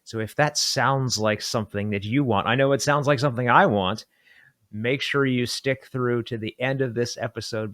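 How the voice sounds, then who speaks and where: A man's voice: medium pitch, fairly bright tone, no echo. Dry-sounding male podcast host. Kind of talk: upbeat podcast interviewing and intro reads.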